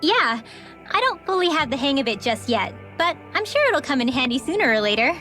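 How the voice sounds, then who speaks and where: An actress playing a friendly, confident young mage and guide in a video game, explaining things readily. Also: female voice, sits high, big pitch swings, brisk, bright, forceful tone, soft background music, heavily acted. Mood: explanatory, modest.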